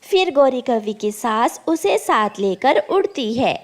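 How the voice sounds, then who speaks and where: High-pitched, slow woman storyteller. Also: sing-song. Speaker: story narrator. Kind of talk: scripted children's story narration.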